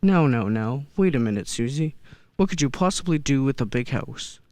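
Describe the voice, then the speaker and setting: An actor playing a kindly old Santa figure in a radio play, gentle and hesitant, often repeating words. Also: mid-range voice, lively intonation, normal speed, dry recording, lightly acted. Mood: curious, tender. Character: kindly old man who believes he is Santa. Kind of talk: stage-style radio play acting.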